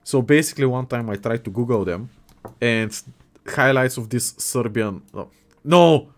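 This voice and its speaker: A man's voice: medium pitch, normal speed. A young man hosting a casual livestream, chatty and jokey as he reacts to viewers' messages. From Bulgaria. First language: Bulgarian. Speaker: livestream host. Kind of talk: casual livestream chat, reading chat aloud.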